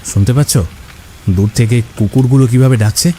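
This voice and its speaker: A man playing a steady, reassuring village husband in a ghost story cartoon, with a calm manner. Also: mid-range voice, fairly quick, noisy background, lightly acted.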